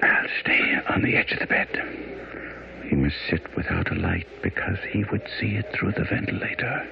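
A man's voice: low voice, wildly swooping pitch, normal speed, vocal fry, over music, full character voice. A man acting a shrewd, commanding detective in a radio mystery, with precise, authoritative, theatrical delivery. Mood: hushed, tense. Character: shrewd, commanding English detective, deliberate and incisive. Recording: muffled radio broadcast, faint noise.

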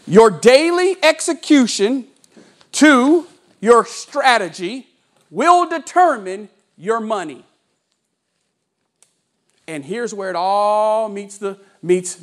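Animated high-voiced man coaching a room. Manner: preachy, dramatic pauses, storytelling; walks about and gestures while speaking. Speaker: sales coach. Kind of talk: motivational training talk. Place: training office room with audience. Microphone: lapel mic.

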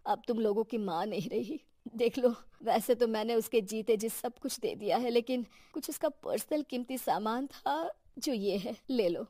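A woman voicing a gentle, generous old father-in-law in a cartoon, mild and reassuring. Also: fairly high pitch, normal speed, no room sound, clean studio sound. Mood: wistful.

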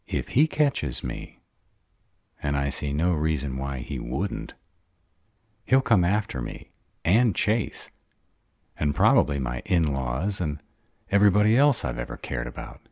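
A man's voice: rumbling low, big pitch swings, a little slow, pauses often, darkish tone, dry recording, clean studio sound, exaggerated voice. A man playing a sarcastic bad-boy vampire in an audio roleplay drama, drawling, guarded but devoted. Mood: sardonic.

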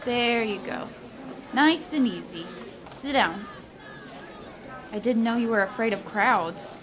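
A young woman playing an earnest, caring, impulsive college student in an audio drama, with an eager, expressive delivery. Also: a little high, slow pace. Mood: soothing. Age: young adult. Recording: faint background noise.

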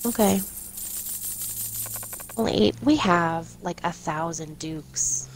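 A woman's voice: fairly low pitch, takes it slowly, slight background noise. A woman chatting casually as co-host of a co-op gameplay video. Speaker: co-host gamer. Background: music.

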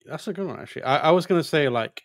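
A man's voice: fairly high pitch, expressive, racing, dry recording. A man talking casually as a podcast panelist, close on a microphone. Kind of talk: casual chat. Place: indoor room, close mic. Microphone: microphone on boom arm, headset.

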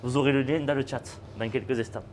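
A young man from Aveyron with a southern French accent, a culinary student speaking briskly on a live cooking show. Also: medium pitch, steady tone, a little mellow, slight background noise. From Aveyron, France. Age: young adult. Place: teaching kitchen, indoors.

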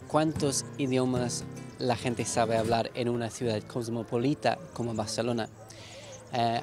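A man's voice: mid-range voice, darkish tone. A young man presenting to camera outdoors with a handheld mic, enthusiastic and promotional. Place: city outdoors by palm trees.

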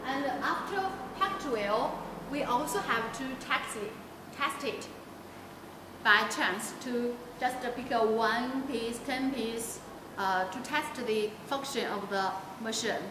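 A woman's voice: fairly high pitch, takes it slowly. A young woman guiding viewers through a factory floor and warehouse, explaining each step and pointing things out. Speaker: factory tour guide. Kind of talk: guided factory walkthrough. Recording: echoey factory hall with loud background noise.

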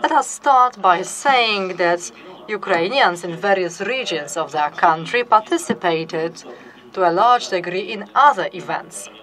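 A woman giving live interpretation of an academic conference talk, measured, with restarts and fillers. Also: medium pitch, lively intonation, a bit bright. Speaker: simultaneous interpreter.

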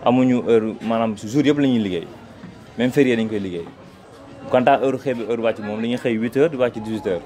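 Young man, relaxed, street interview on a bus, handheld mic. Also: medium pitch, fast talker. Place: inside a city bus. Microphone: handheld reporter mic.

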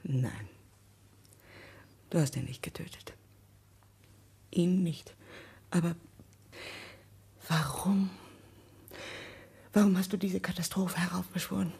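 An imploring wife in a radio play. Her voice is very breathy and creaky, fairly low, and she speaks slowly, in anguish. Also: steady tone, dark tone, heavily acted. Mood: anguished, reproachful. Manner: halting, imploring. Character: anguished, pleading wife of a businessman. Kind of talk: German radio drama acting.

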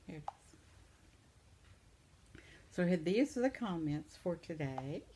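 A woman's voice: lowish voice, sing-song, a little slow, mellow, breathy edge. An older woman, chatting at home to her viewers as she reads their comments aloud, sympathetic and reacting to each one. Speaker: vlogger. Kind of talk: casual chat reading viewer comments. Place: living room at home, seated in armchair.

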